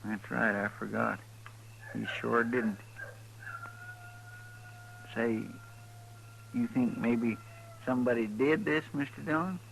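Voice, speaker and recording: Creaky, folksy Western sidekick. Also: male voice, mid-range voice, steady tone, takes it slowly, long pauses, fairly bright tone. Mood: sad, pondering. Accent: rural American. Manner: drawling, deferential, plain-spoken. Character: folksy, earnest, simple deputy sidekick in the Old West. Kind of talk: 1950s radio western acting. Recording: faintly noisy old 1950s radio recording.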